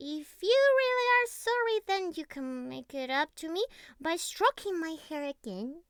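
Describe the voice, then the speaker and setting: A woman playing a clingy, teasing young catgirl best friend in an intimate roleplay ASMR, speaking softly and cutely with breathy murmurs. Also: very high-pitched, no echo, clean studio sound, full character voice. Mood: flustered, pouty. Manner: soft, cutesy, breathy sighs and murmurs, slow. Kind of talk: intimate roleplay ASMR, scripted monologue to the listener.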